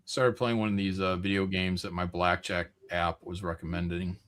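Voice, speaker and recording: A man's voice: deep voice, flat delivery, normal speed, fairly bright tone. A man hosting a livestream with casual banter, teasing and mock-defensive as he talks. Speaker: livestream host.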